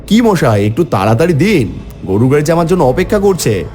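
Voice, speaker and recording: A man's voice: big pitch swings, brisk, mellow, faint music behind. A man playing a village man who tells his own horror story dramatically in a cartoon. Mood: impatient. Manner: theatrical, rising into high pleading. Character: frightened, hungry village man telling his ghost tale. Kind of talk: dramatic first-person horror story narration.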